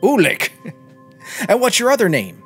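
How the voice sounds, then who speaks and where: Man acting an inquisitive, animated young sailor. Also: high voice, a little slow, husky, soft background music, voice pushed up, exaggerated voice. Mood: probing, surprised. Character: young seafaring man, inquisitive, returning home.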